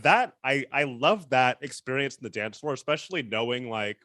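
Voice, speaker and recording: A man's voice: medium pitch, expressive, moderate pace, sharp tone, dry recording, studio quality. A man hosting a casual interview, easygoing and upbeat, with many ums. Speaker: interviewer, host.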